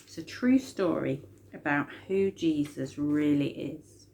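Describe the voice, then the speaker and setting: A woman's voice: deep voice, slow pace, vocal fry, darkish tone. A woman reading a children's story expressively, with dramatic emphasis on key words.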